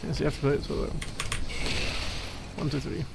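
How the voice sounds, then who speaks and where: Guy acting as needy gamer boyfriend asmr, higher voice w lots of fry, halting, playfully flustered. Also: little pitch movement, heavy background noise. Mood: casual explaining. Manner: halting, teasing, mumbly asides while playing a game. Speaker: ASMR roleplay performer. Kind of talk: ASMR boyfriend roleplay, improvised-sounding casual chat.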